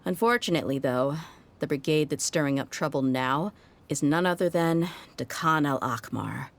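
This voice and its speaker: A woman's voice: sits low, normal speed, a little hiss or hum. A woman voicing a tough, jaded young mercenary in a video game, speaking frankly and wryly. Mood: exasperated. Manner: frank, wry, world-weary. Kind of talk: video game voice acting, story dialogue.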